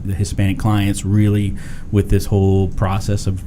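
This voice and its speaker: A man hosting a podcast interview, relaxed and casual, restarting his questions as he goes. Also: low-pitched, moderate pace, noisy background. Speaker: podcast host.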